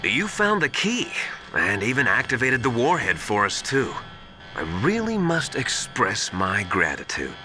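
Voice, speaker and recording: A smug, scheming game villain who savors every slow phrase. His low-leaning voice swings widely and theatrically as he mocks and gloats, with loud music behind him. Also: huge pitch swings. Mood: smug, gloating. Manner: drawn-out, gloating, theatrical delivery. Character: scheming villain posing as a trusted mentor, smug and mocking. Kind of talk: theatrical video game cutscene acting.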